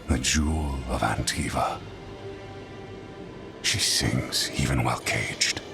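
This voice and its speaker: Game villain: cultured, menacing conqueror, gravelly and slow, theatrical delivery over clear music. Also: male voice, full character voice. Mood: proud, adoring. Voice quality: deep gravelly voice. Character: menacing male warlord conqueror, proud, possessive, cultured. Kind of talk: dramatic video game acting. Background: prominent background music.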